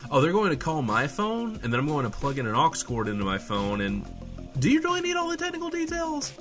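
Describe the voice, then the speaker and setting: Male radio host, acted by a man: sarcastic, flustered, profane, very animated pitch, comedic banter over light music. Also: brisk. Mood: wry. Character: irreverent, flustered small-town radio host, adult man. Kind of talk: comedic audio drama, bantering dialogue.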